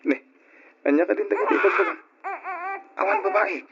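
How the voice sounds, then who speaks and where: An actor with an Ilocano accent playing an evasive, defensive husband in an old-style radio drama, on a muffled, noisy recording. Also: male voice, high-pitched, moderate pace, creaky, a bit bright, breathy edge, full character voice. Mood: defensive, flustered.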